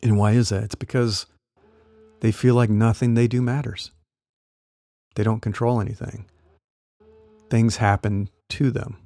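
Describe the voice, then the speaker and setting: A man who works as a career coach, talking through ideas in a relaxed, explanatory way with small laughs, his voice a little worn as he says he is losing it. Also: fairly low pitch, a little slow, long pauses, no room sound. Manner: conversational, explanatory, light laughs. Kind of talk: solo podcast talk.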